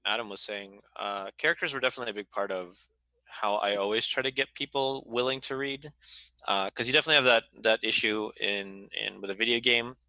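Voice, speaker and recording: A man's voice: on the low side, fairly quick, pushed and bright, dry recording, poor audio. A man chatting casually on a panel, conversational and given to acting out imagined reactions. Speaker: panel participant. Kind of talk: casual chat.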